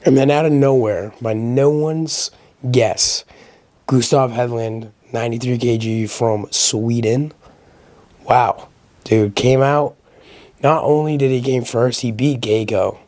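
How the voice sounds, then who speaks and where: A man hosting a casual solo video commentary, giving opinions conversationally, his voice a little raspy as he gets over a sickness. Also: mid-range voice, fairly even intonation, slow pace, darkish tone, dry recording. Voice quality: slightly raspy. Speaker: host of a powerlifting recap channel.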